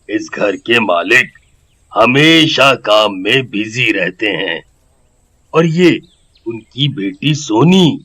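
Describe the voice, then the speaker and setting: A man's voice: medium pitch, bright, forceful tone. A man voicing a kindly adult servant in a cartoon, explaining things as if to a child. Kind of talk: Hindi cartoon voice acting.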